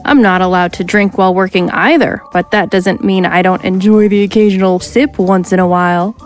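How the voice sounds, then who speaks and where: Woman voicing a brash swaggering space pilot, cocky and teasing, mid-pitched voice, faint score underneath. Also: steady tone, brisk, soft background music, natural voice. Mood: breezy. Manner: cocky, teasing, rule-bending. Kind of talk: audio drama acting.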